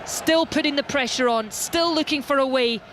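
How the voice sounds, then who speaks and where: A woman giving play-by-play commentary on a live football match, rising to shouts when the ball reaches the goalmouth. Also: high-pitched, moderate pace. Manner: rises to shouts at goalmouth action. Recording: noisy background.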